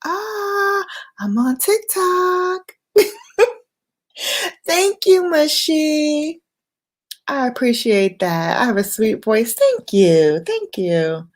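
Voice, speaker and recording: Slow, reflective woman livestreamer. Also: high-pitched, very animated. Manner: unhurried, reflective, pausing between short phrases. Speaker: livestream host. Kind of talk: impromptu late-night livestream chat, reflective rambling.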